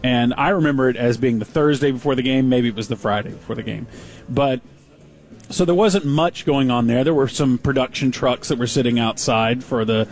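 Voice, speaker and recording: A man on a radio show telling an animated story and laughing at himself. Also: medium pitch, fairly quick. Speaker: radio host.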